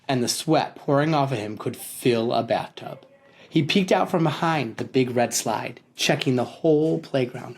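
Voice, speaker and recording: A man hosting and narrating a children's story in an expressive, animated read-aloud style, addressing listeners directly. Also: mid-range voice, gravelly, slightly rough. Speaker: storytelling podcast host and narrator.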